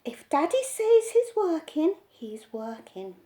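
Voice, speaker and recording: A no-nonsense mother speaks briskly and sharply, with a lively rise and fall in her voice, as a woman reading a story plays her. She scolds firmly and leaves no room for argument. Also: high-pitched.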